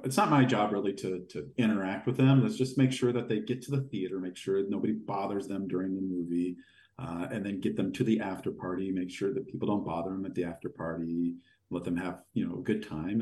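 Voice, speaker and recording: A man with a deep voice telling long, rambling anecdotes as a podcast guest. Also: moderate pace. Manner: rambling, animated anecdotes with fillers. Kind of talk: casual storytelling in an interview. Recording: echoey room.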